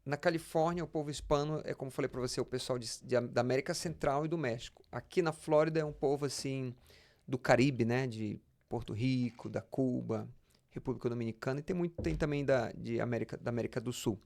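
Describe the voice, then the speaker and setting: A man's voice: medium pitch, brisk, creaky, darkish tone. A Brazilian man speaking as a pastor and interview guest, telling personal anecdotes conversationally and often repeating words as he explains.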